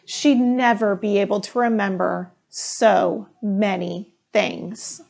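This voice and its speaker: A woman's voice: medium pitch, fairly even intonation, takes it slowly, creaky, darkish tone. A woman reading a children's picture book aloud as a storyteller, acting out the dialogue expressively. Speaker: storytime reader, firefighter.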